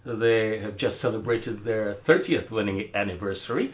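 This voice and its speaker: A man's voice: fairly low pitch, normal speed, breathy edge. A man hosting a casual interview in a couple's home, chatty and laughing along with his guests. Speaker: podcast host. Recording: tinny phone-like lo-fi sound.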